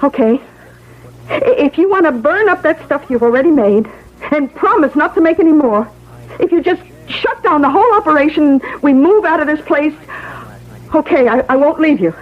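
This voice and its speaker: A wounded wife standing her ground in a radio drama. Her high voice is curt, sarcastic and challenging, on a tinny old broadcast. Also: animated, moderate pace. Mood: firm, indignant. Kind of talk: 1970s radio drama acting.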